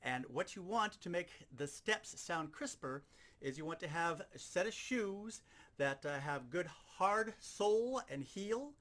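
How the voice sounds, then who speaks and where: Lively, higher-pitched man teaching. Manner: explanatory, repeats key points step by step. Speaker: sound effects instructor. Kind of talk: instructional demonstration.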